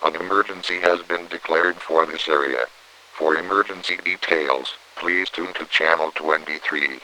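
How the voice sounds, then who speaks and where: Guy doing deep automated alert voice, terse and impersonal, bit of radio hiss. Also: steady tone, moderate pace, bright, forceful tone, poor audio. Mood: stern, impersonal. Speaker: emergency alert announcer. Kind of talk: terse scripted emergency announcement.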